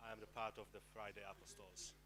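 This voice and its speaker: A man's voice: on the low side, level tone, fast. A man from Poland introducing himself hesitantly, with fillers. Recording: noisy room.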